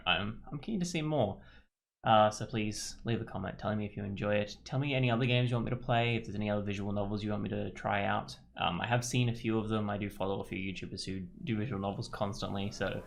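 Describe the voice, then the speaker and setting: A man giving informal, halting let's play commentary as a gaming YouTuber, reading game text aloud as he goes. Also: on the low side, creaky.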